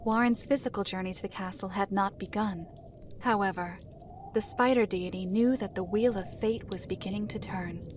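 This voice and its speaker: A woman playing a composed storyteller of dark lore, measured, formal and authoritative, in an audio drama. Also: medium pitch, slight background noise, lightly acted. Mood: knowing.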